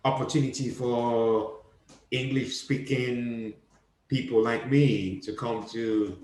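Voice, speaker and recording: Slow-talking man in an echoey room. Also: mid-range voice, steady tone, a little mellow. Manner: hesitant questions with fillers. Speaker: podcast host. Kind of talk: casual interview chat.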